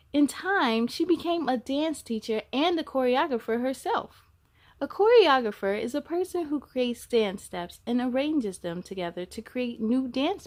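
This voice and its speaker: A high-pitched woman's voice hosts a children's storytime. She reads from a picture book in an animated manner, talking straight to her young listeners. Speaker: storytime host reading a picture book aloud. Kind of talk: children's read-aloud with asides.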